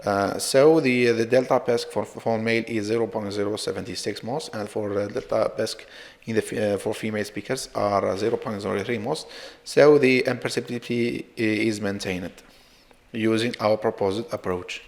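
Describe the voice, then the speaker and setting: Male researcher presenting slides; stop-start hesitant delivery, gravelly fry, echoing hall-like sound. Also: lowish voice, steady tone. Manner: halting, hesitant delivery with frequent repeats. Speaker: researcher presenting own work. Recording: reverberant, hall-like echo.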